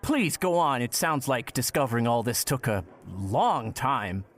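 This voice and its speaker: A man playing a courteous, reserved listener with polite, measured, formal speech in an audio drama. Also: mid-range voice, sing-song, fairly bright tone. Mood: apologetic, composed. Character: courteous, reserved male listener with an ailing face. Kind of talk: period audio drama dialogue.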